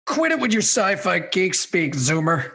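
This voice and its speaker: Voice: medium pitch, very animated, measured pace, a bit bright. Someone playing a cranky, combative older film critic. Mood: grumpy. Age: 60s. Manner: grumbling, dismissive, combative. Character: cranky opinionated 68-year-old film critic, a baby boomer. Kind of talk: scripted comedy radio banter.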